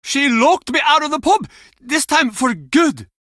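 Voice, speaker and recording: Gruff old fisherman, high-pitched, animated. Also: dry recording, clean studio sound, heavily acted. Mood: bitter, agitated. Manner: theatrical, blunt, halting old-salt turns of phrase. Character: gruff, embittered, grieving old Icelandic fisherman and pub regular. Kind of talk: video game character acting.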